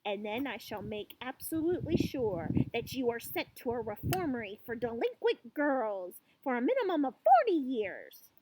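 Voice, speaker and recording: A woman's voice: high voice, very animated, measured pace, slight background noise, poor audio. A woman voicing a furious, tyrannical headmistress who loathes children, haranguing and menacing, in a storybook reading. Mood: furious tirade. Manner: haranguing, barking, grandly menacing.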